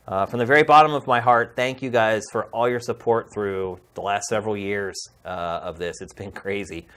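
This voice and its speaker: A man's voice: on the low side, a bit bright, smooth. A middle-aged man speaking as a podcast host, addressing viewers in a casual, heartfelt and emphatic way.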